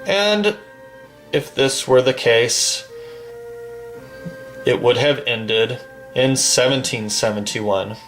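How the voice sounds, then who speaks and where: A man reciting a long poem from memory in a slow, declamatory manner, swelling on the refrains, then chatting casually about a game. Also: mid-range voice, a bit bright, faint music behind. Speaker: poetry reader and gamer.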